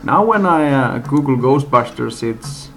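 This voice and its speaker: Slow, gravelly man rambling off the cuff. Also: mid-range voice, expressive, fairly bright tone. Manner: halting, rambling delivery. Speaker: amateur movie reviewer. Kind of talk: unscripted casual rant review. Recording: homemade, radio music playing behind. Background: music from a live radio.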